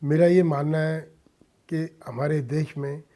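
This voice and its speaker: A man's voice: a little high, fairly even intonation, a little slow, mellow. A middle-aged man from India speaking earnestly as a radio interview guest, dropping in English phrases. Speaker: interview guest, businessman and social worker. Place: radio studio.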